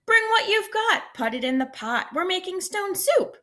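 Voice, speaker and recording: A woman's voice: extremely high pitch, very animated, normal speed, fairly bright tone, pitched above the performer's own voice, full character voice. A woman playing a showy traveling cook. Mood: cheerful. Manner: grand, theatrical announcing. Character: clever cheerful male traveler cook.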